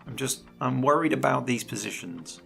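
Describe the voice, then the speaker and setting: A man commentating a video game match live, chatty and analytical, his voice a little croaky. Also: medium pitch, animated, moderate pace, a bit bright, faint music behind. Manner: chatty and analytical, laughs often. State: slightly croaky voice. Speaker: esports commentator.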